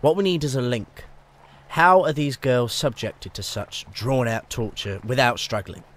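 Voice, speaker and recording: A man's voice: medium pitch, a little slow, a bit bright, close to an everyday voice. A man playing an exasperated, authoritative Victorian chief inspector in a radio drama, grim and commanding, with a British accent. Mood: stern.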